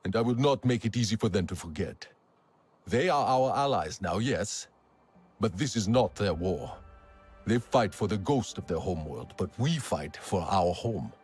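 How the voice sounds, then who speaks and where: An actor playing a battle-hardened, proud alien clan warrior in a game cutscene, husky and grave, with formal phrasing. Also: male voice, lowish voice, expressive, normal speed, a little mellow, faint music behind. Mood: stern, bitter. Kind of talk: dramatic game cutscene acting.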